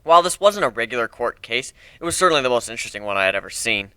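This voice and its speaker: A man giving an expressive dramatic reading of a first-person fantasy story as its narrator. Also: mid-range voice, rapid-fire, clear, resonant tone, no echo.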